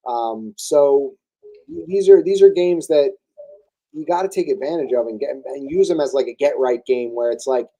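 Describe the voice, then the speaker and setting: A young man with a very dark, mellow voice co-hosts a sports podcast. He talks loosely and casually, trips over fillers and restarts his sentences as he works through his points. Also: medium pitch, normal speed, poor audio. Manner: hesitant, many restarts and fillers. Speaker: podcast co-host.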